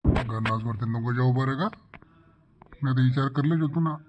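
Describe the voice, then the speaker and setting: A man's voice: mid-range voice, moderate pace, lo-fi sound, over music, lightly acted. A man voicing a small, wordy, excuse-making schoolboy in a comedy cartoon, with a Khandeshi Ahirani accent. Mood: worried.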